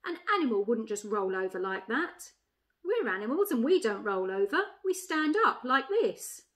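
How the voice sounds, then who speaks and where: A woman's voice: fairly high pitch, very animated, moderate pace, fairly bright tone. A woman voicing a proud, literal-minded talking bear in a storybook, making emphatic declarations. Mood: disagreeing.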